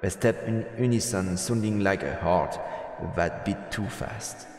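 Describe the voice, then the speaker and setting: A man's voice: sits low, unhurried, a little mellow, roomy sound, in a large hall. A man streaming a visual novel, reading the narration aloud as dramatic storytelling. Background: game music.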